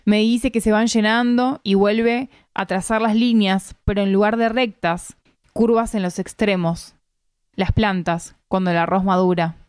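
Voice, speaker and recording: A woman from Argentina with an Argentine Spanish accent reads a literary first-person story aloud in measured, deliberate phrasing. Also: mid-range voice, steady tone, darkish tone, clean tone, no echo, clean studio sound. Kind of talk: literary narration, read aloud.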